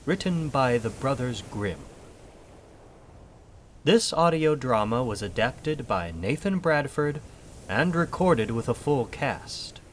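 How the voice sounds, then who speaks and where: Male presenter, scripted announcement, measured slow delivery, varied intonation, faint rumble. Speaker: producer and presenter.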